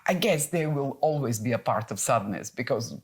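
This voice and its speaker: A woman's voice: a bass voice, animated, moderate pace. A woman in her fifties chatting as podcast co-host, reflective and sometimes searching for words. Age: 50s. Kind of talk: casual conversation.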